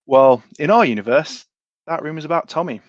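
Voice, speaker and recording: A man's voice: a little high, brisk, no echo, dull audio, voice pushed up, close to an everyday voice. A man playing a laid-back, cheeky male gossip who rambles through asides in a tabletop game. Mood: laid-back, gossipy.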